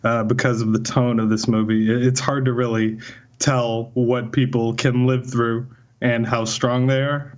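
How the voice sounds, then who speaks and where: A man's voice: medium pitch, dull audio. A man co-hosting a podcast in casual chat, rambling with many fillers and easy laughs. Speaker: podcast co-host.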